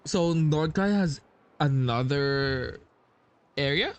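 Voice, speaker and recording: A man giving casual playthrough commentary, musing and halting, with slangy reactions. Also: fairly high pitch, smooth. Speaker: gamer playing and reacting. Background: game music.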